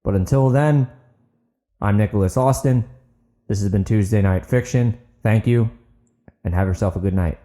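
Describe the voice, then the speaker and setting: A man narrating and hosting an audiobook podcast, with earnest, deliberate phrasing. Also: on the low side, warm tone. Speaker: podcast host, author and narrator.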